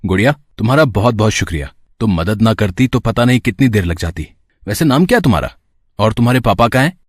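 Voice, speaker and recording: एक पुरुष कलाकार भावपूर्ण उतार-चढ़ाव वाला दयालु युवक. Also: male voice, lowish voice, animated, fast, slightly rough, dry recording, clean studio sound. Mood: friendly, curious. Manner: caring, reassuring, brotherly. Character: kind, helpful young man, a big-brother figure. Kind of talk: acted audio story dialogue.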